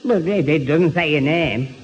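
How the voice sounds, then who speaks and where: Guy doing daft stammery northern english lad, highish voice, old radio comedy, echoey hall sound. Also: normal speed, natural voice. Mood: doubtful, indignant. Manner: stammering, dopey, flustered. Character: daft, dithering, gullible lad, easily flustered. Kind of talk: old-time radio sitcom acting. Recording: dull, reverberant, noisy old radio recording.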